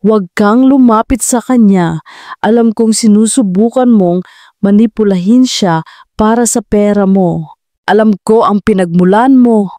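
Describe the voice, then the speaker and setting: A woman's voice: mid-range voice, a little slow, mellow, no room sound, clean studio sound, natural voice. A woman voicing a jealous, possessive female rival in a romance audio drama, accusing and emphatic. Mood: angry, threatening.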